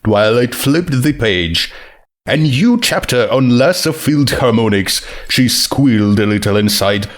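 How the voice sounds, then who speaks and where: A man's voice: medium pitch, expressive, unhurried. A man narrating a written comic story as a scripted audiobook-style reading. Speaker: narrator of fan fiction.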